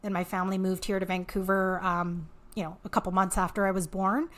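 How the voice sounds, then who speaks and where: A woman with a Canadian accent speaks as a guest in a casual interview. Her voice sits at a middle pitch. She explains things conversationally, repeating and restarting words as she works through a thought. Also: little pitch movement, fairly quick. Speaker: podcast guest, author and marketing entrepreneur.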